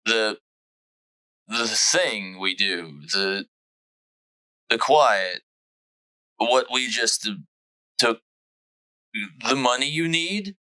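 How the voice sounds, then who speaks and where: A man's voice: medium pitch, expressive, very slow, pauses often, bright, forceful tone, clean tone, heavily acted, low-quality recording. A man playing a laid-back, awkwardly sincere young guy in a comic book dramatization, rambling and hesitant. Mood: hesitant, scheming.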